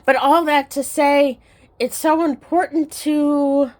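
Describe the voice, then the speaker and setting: Middle aged lady vlogger, kinda high voice, really unhurried, reflective halting storytelling, casual. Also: steady tone, a little mellow. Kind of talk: personal reminiscing to camera, casual chat.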